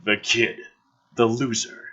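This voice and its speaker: A man's voice: medium pitch, little pitch movement, slow pace, sharp tone, muffled recording. A man reading a script aloud theatrically, with sudden emphasis and sarcastic, exasperated, profane commentary. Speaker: host reading and mocking a film script.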